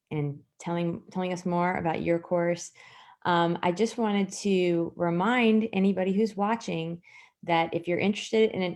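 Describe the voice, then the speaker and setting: Low-voiced woman hosting a livestream. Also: a little mellow. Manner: enthusiastic, with many fillers. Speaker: host.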